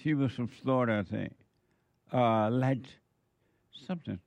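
Brash talk radio host, dry sound. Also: male voice, mid-range voice, a little slow, creaky, darkish tone, no echo. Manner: brash, joking, laughs often.

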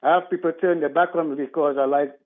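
A man's voice: on the high side, fairly even intonation, fast talker. A man from Trinidad, calling in to a radio show and speaking earnestly in a rambling way. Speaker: caller. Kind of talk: call-in talk radio. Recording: tinny phone line.

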